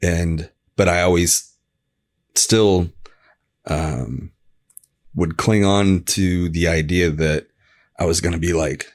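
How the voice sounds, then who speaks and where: Deep-voiced American man, candid and informal. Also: slow pace, pauses often. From Arizona, United States. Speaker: podcast guest. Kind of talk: casual personal storytelling.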